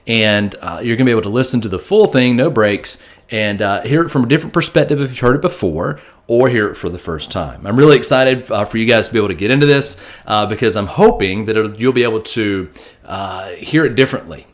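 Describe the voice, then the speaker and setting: A man speaking as a pastor, giving a casual, informal and somewhat halting introduction to a recorded message. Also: on the low side, animated.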